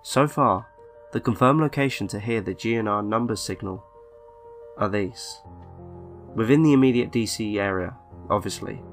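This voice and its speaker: A man narrates a scripted horror story in a lowish voice. His reading is measured and deliberate, broken by pauses. Soft music plays underneath. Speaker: narrator of a creepypasta video. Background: background music.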